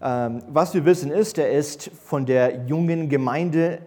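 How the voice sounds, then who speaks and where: A man's voice: on the high side, measured pace, warm tone. A man in his thirties, a church elder who grew up in Berlin, giving a sermon in a hesitant way with many fillers and restarts. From grew up in East Berlin, born in the USA. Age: 30s. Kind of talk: sermon with personal introduction. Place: church service.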